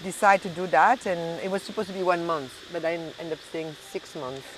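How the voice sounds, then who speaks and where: Middle-aged French-accented woman, halting speech over noise. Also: lowish voice, poor audio. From Belgium and southern France. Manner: halting, searching for words. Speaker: interview guest, hotel and restaurant owner. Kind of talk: casual conversational interview.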